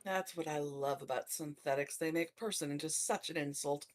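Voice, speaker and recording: A woman's voice: sits low, moderate pace. A woman playing a tabletop roleplaying game, speaking in character with a wry, sardonic manner.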